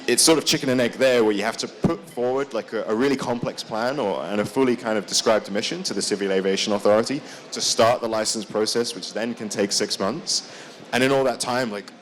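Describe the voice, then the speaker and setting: A man, a startup founder, speaking casually at a conference, packing his sentences with "like" and "kind of". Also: on the low side. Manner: casual, with frequent "like" and "kind of" fillers. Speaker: startup founder on a showcase panel. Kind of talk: conference talk. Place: conference stage.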